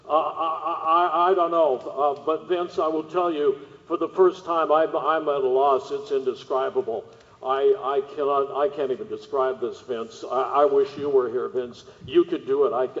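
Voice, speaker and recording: A man's voice: on the high side, fairly bright tone, natural voice. A man acting as a panicky, stammering field reporter at an alien attack in a radio drama. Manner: breathless, stammering, repeats himself. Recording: dull reverberant lo-fi audio.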